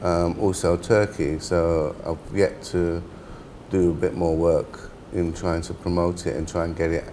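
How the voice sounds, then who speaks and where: Deep-voiced hesitant middle-aged man. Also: little pitch movement, measured pace, warm tone, slight background noise. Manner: hesitant, repeats words before finishing. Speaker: author, interview guest. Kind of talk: casual interview answers.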